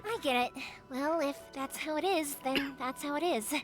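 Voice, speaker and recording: A woman's voice: high-pitched, moderate pace, close to an everyday voice, low-quality recording. An actress playing a cheerful, chatty young woman who makes fireworks in a game, eager to please, with game music behind her.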